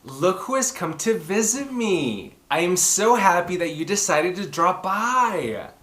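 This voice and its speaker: A man's voice: high-pitched, lively intonation, measured pace, a bit bright. A man presenting and reading children's books as a story-time host, with a theatrical, exclamatory manner that speaks straight to young listeners. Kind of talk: animated children's book presenting and read-aloud. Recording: roomy echoing room sound.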